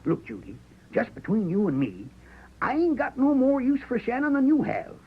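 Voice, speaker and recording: An actor playing a cautious, cowardly small rancher in a 1940s Western radio drama, with a raspy, folksy American drawl. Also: male voice, extremely high pitch, huge pitch swings, normal speed, heavily acted. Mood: pleading, wary. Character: cautious, cowardly small rancher, worried old-time Western father figure. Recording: muffled old 1940s radio recording.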